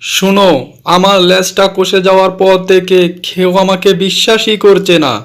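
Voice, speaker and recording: Brief: plaintive cartoon fox, voiced by a man, high and self-pitying. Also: monotone, moderate pace. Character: sad mocked male fox with cut tail.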